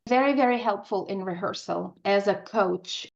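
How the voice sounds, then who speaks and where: A woman's voice: mid-range voice, moderate pace, vocal fry, highs cut off. A woman speaking as an interview guest, an opera composer in conversation on the radio.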